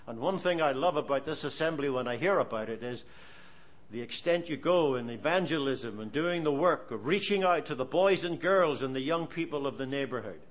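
A man's voice: mid-range voice, a little fast. A man in his seventies with an Irish accent, preaching a sermon in an earnest, storytelling way. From Ireland. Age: 70s. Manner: animated, storytelling, earnest exhortation.

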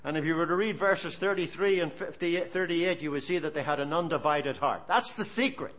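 A man's voice: fairly high pitch, a little fast. A man in his seventies with an Irish accent, preaching a sermon in an earnest, storytelling way. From Ireland. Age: 70s. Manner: animated, storytelling, earnest exhortation.

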